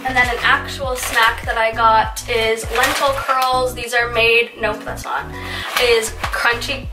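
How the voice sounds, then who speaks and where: A woman's voice: fairly high pitch, distant mic. Stuffy-voiced young woman, chatty. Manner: chatty, lots of "like" fillers. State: stuffy, recovering from illness. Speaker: lifestyle vlogger. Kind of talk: casual haul vlog. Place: home room, indoors. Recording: roomy, off-mic, with music. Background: background music.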